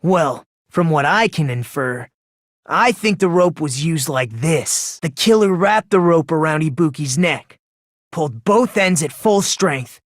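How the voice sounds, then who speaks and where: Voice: medium pitch, lively intonation, measured pace, dry recording. A video game teenage boy, with an unsettling politeness. He puts himself down while talking down to everyone else. The sound is dry and close, with no room around it. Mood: calmly explaining. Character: polite, unsettling, self-deprecating teenage boy with condescending streak.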